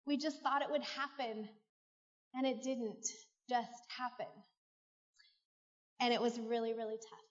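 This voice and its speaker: A woman's voice: a little high, fairly even intonation, a little slow, long pauses. A middle-aged American woman from California giving a candid, heartfelt personal testimony to a church audience. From California, United States. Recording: muffled, echoey large hall.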